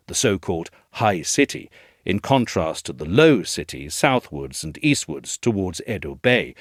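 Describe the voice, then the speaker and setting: A man reading a scripted essay as narration, in a measured, articulate way. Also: low-pitched, no echo, clean studio sound. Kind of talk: scripted documentary-style narration.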